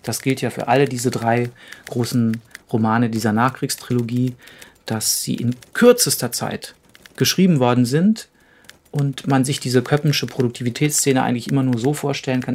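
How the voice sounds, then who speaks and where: A man's voice: mid-range voice, steady tone, normal speed, a little mellow, a little hiss or hum. A man giving analytical literary commentary in long, articulate, winding sentences, as in an interview.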